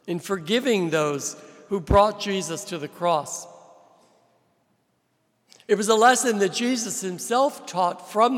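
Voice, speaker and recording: A man preaching a sermon as a visiting priest, from New Jersey, with slow, deliberate delivery and emphatic rises. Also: high-pitched, fairly bright tone. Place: large church with long reverb. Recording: reverberant church hall.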